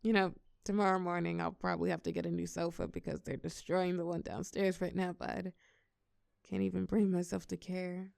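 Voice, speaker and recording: A woman's voice: lowish voice, flat delivery, a little fast, creaky, a little mellow, no room sound. A young woman playing a shy, tender college girl confiding in a close friend, hesitant yet playful, in an intimate audio roleplay. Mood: tender, reflective. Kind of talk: intimate scripted audio roleplay, one side of a conversation.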